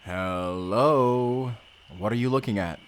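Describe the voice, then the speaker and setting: A man's voice: mid-range voice, takes it slowly, slight background noise, close to an everyday voice. A man playing a young Spanish vampire slayer, a teasing and tender protector speaking intimately in an audio roleplay. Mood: concerned. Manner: speaks closely to one listener, teasing and soothing.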